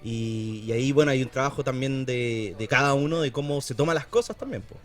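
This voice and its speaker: A man in his thirties from Chile with a Chilean Spanish accent, chatting casually as a podcast guest, relaxed and full of fillers and slang. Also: mid-range voice, a little fast, soft background music. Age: 30s. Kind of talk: casual conversation.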